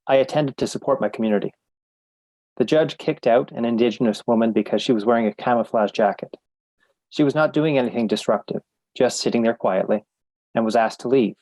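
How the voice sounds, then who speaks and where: A man reading a first-person testimony in a measured, serious manner. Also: mid-range voice, warm tone, no echo. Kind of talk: read testimony narration.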